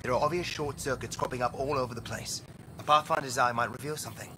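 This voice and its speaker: Actor as flustered male technician, mid pitch, noisy game audio. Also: fast talker, lightly acted. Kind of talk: video game dialogue.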